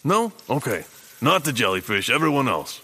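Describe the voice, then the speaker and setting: A man's voice: mid-range voice, very animated, normal speed, pushed and bright, husky, heavily acted. A man playing a dim, folksy pirate henchman with hesitant thug talk in a comic audio drama. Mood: deliberating. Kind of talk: audio comedy drama acting.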